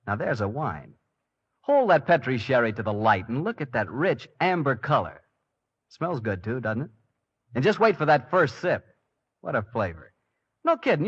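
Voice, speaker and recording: Animated American 1940s radio announcer man. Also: mid-range voice, breathy edge. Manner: polished, folksy salesman delivery. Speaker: announcer and host. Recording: dull vintage 1940s radio recording.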